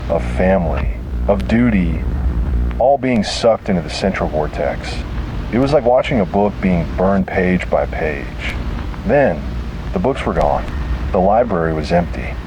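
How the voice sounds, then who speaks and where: Low-voiced man narrating horror, noisy recording. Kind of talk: scripted first-person horror story narration.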